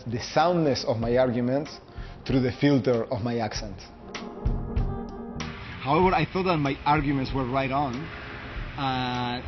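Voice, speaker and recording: Flat, measured man with a Uruguayan accent. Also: medium pitch. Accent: Uruguayan Spanish. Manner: measured and deliberate, searching for words. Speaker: law student. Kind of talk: personal video statement. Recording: muffled lo-fi recording with faint background music.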